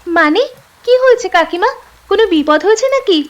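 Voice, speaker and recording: A woman's voice: extremely high pitch, animated, brisk, lo-fi sound. A woman voicing a curious, polite young village girl in a cartoon. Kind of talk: Bengali cartoon story voice acting.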